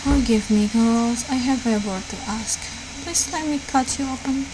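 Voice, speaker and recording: A woman voicing a polite, halting killer from a visual novel, obsessed and confessional, in a raw home recording. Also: fairly high pitch, natural voice. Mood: obsessed, apologetic. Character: obsessed, polite killer who longs to cut people open. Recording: clear background noise, raw home recording.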